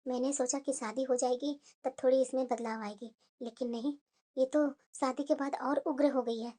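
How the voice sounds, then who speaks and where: A woman voicing a kindly older mother-in-law who keeps the peace in an animated family drama. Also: high-pitched, fairly quick. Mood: gentle. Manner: gentle, scolding softly.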